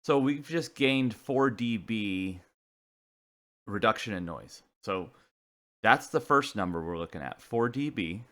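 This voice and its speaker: Low-pitched man explaining technical material casually. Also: animated, a bit bright. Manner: casual, halting, thinking aloud while working through figures. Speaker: presenter of a CB radio technical video.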